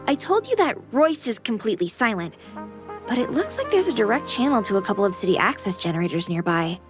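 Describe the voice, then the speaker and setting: A woman's voice: medium pitch, big pitch swings, fast, lightly acted. A woman voicing a playful young female AI companion in a sci-fi visual novel, polite with a teasing edge. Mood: helpful. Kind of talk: visual novel game voice acting. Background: music.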